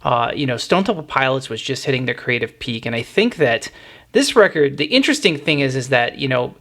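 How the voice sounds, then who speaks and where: A middle-aged man with a mid-pitched voice hosts a casual music discussion. He talks in a reflective, explanatory manner, like someone thinking back over records he knows well. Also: big pitch swings, fairly quick, pushed and bright. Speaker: music podcast host.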